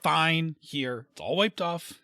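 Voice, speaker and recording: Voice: medium pitch, expressive, slow, bright, forceful tone, exaggerated voice. An actor playing a snippy, competitive gnome in an amateur comedic fantasy audio drama.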